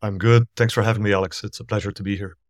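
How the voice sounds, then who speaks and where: A middle-aged man from Belgium, a startup founder explaining his business in a measured, thorough way during a remote podcast interview. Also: fairly low pitch, steady tone, dry recording, studio quality. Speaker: guest, startup founder and CEO.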